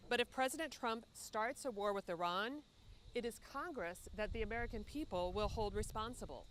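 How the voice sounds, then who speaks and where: A woman delivering a prepared, formal advocacy speech at a press conference in a measured, earnest manner. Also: medium pitch. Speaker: advocacy group leader speaking at press conference. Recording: steady background ambient noise.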